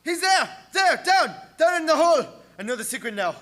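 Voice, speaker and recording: A man's voice: very high-pitched, sing-song, fairly quick, fairly bright tone, full character voice. A man playing a haunted, agitated ship's mate driven mad by terror in a radio play. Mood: hysterical terror. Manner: intense, agitated. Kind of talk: dramatic radio play acting.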